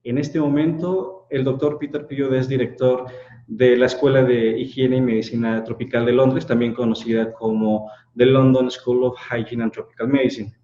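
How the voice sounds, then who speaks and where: A male host with a Mexican Spanish accent opens with a formal reading and then asks his questions in hesitant English. The recording sounds roomy and dull, like a low-fidelity mic in an echoing space. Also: little pitch movement, brisk, mellow. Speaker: interviewer, host.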